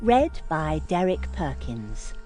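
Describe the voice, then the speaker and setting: Slow-speaking woman announcing an audiobook. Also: deep voice, very animated, dark tone, faint music behind. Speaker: audiobook publisher and narrator. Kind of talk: scripted audiobook introduction.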